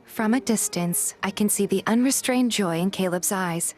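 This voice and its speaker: A woman's voice: medium pitch, normal speed, a little mellow, soft background music. A woman reading a scripted first-person story as narrator and voice actor in a fan dub.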